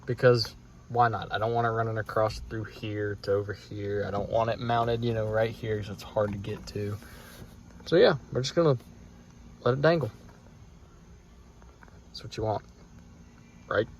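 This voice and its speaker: A man's voice: fairly low pitch, steady tone, normal speed, pauses often, a little hiss or hum. An American man talking casually and thinking aloud as he hosts a hands-on truck tutorial in a folksy, informal way. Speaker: DIY truck vlog host.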